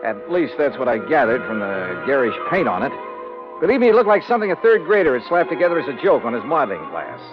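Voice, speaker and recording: An actor playing a brash, wry insurance investigator in a 1950s radio noir drama. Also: male voice, mid-range voice, big pitch swings, quick pace, over music, natural voice. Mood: sardonic. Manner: wry, flippant, casual. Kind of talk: 1950s radio noir acting and first-person narration. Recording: dull vintage radio recording.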